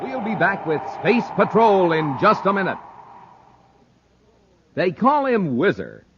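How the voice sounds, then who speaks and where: A man's voice: sits high, very animated, takes it slowly, noisy background. A man announcing in punchy, emphatic mid-century radio style, reading scripted copy. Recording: muffled old radio recording.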